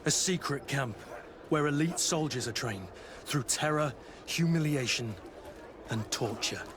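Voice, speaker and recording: An actor playing a regretful, earnest soldier in a video game. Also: male voice, mid-range voice, measured pace, lightly acted. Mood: grave, regretful. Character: betrayed, regretful soldier mentor of the Guard. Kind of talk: dramatic video game dialogue. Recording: clear outdoor ambient noise behind.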